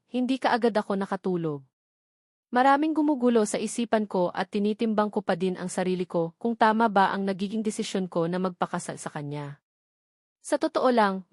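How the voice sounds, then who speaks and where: A woman's voice: mid-range voice, a little mellow, no echo. Filipino woman narrating, close dry sound. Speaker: audiobook narrator. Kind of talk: scripted first-person novel narration.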